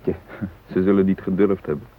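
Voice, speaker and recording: An actor playing a practical, caring male hostage in a radio drama, talking in a measured, reasoning way. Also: sits low, steady tone, phone-quality sound, close to an everyday voice. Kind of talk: naturalistic radio drama acting.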